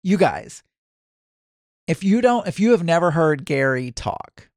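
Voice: medium pitch, sing-song, measured pace, no echo, studio quality. A podcast host with a Southern US accent, chatting casually and candidly on their own, often breaking off mid-sentence and starting again. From American South. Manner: rambling, self-interrupting, chatty and candid. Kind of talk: casual solo podcast chat.

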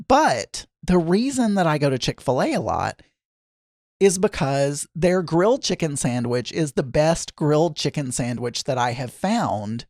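A man with a hoarse, crackly voice, hosting a podcast in a rambling, chatty, digressive way with some self-deprecating asides. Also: fairly high pitch, a little mellow, no echo, clean studio sound. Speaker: podcast host. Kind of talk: rambling casual chat.

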